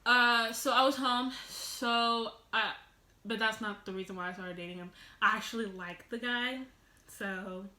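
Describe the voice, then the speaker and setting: Bright, loud teenage girl rambling. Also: fairly high pitch, roomy sound. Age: teens. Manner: loud, rambling, giggly, tangents and restarts. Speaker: vlogger. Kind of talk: casual storytime vlog. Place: at home, sitting on the floor.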